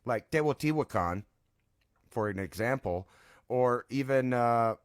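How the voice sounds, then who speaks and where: A man's voice: medium pitch, lively intonation, takes it slowly, clean tone, dry recording. Casual male co-host, dry close sound. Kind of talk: casual, rambling discussion.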